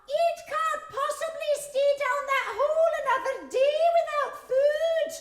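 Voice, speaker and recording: Shrieking, bossy farmer's wife. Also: female voice, fairly even intonation, unhurried. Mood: impatient. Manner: shrill, commanding, exasperated. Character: bossy, impatient farmer's wife calling orders. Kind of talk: children's audio drama acting. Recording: echoing room.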